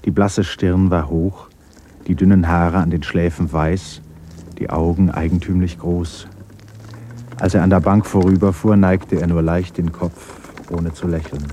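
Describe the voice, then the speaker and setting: A man narrating a radio play in a measured, literary storytelling style. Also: deep voice, level tone, warm tone, slight background noise.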